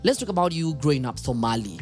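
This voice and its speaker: A man's voice: mid-range voice, big pitch swings, a little mellow, faint music behind. A man hosting a podcast interview, asking long, thoughtful questions and backing the guest with frequent yeahs. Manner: thoughtful, drawn-out questions with frequent affirming "yeah". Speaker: podcast host. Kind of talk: casual interview chat.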